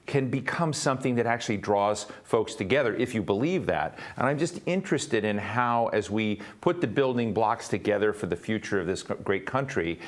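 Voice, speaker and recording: A male moderator with a fairly low voice puts long questions to his guest. He talks conversationally and searches aloud, with fillers and rephrased starts as he circles his point. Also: lively intonation, normal speed, hardly any pauses. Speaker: panel moderator. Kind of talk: panel interview questions.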